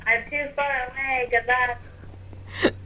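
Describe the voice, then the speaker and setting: A woman's voice: high-pitched, level tone, slow pace, bright, forceful tone, low-quality recording. A woman chatting casually in character while playing a game, over online voice chat. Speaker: gamer in roleplay video.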